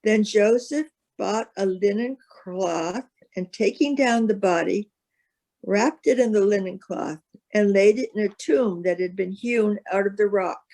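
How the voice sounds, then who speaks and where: A woman's voice: mid-range voice, unhurried, mellow. A woman reading a gospel passage aloud as scripted narration. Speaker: scripture reader.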